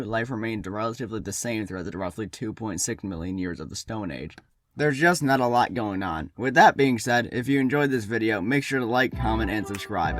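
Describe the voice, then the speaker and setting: A man's voice: on the low side, fairly bright tone, dry recording, clean studio sound. A man hosting a humorous history series, narrating from a script with wry, tongue-in-cheek asides. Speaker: host of a comedic history series.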